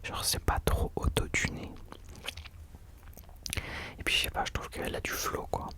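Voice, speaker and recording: A man hosting ASMR in an unvoiced whisper, chatting informally and hesitantly, correcting himself as he goes. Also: normal speed. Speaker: ASMR host. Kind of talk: whispered ASMR chat about rappers.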